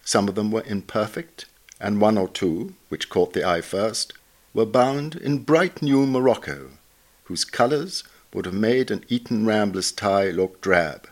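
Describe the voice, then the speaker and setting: Low-pitched man reading formally. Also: unhurried. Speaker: reader, narrator. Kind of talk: scripted narration of an obituary.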